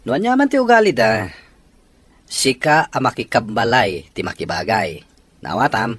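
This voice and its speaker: A man's voice: medium pitch, very animated, moderate pace, fairly bright tone, breathy edge, no echo. A man acting a struggling, jobless father who is firm with his young daughter, in an Ilocano radio drama with an Ilocano accent.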